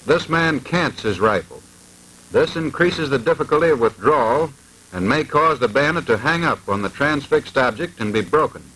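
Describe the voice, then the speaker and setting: A man's voice: medium pitch, lively intonation, moderate pace, bright, forceful tone, a little hiss or hum, poor audio. A man reading formal, precise scripted narration in the manner of a 1940s military instructional film.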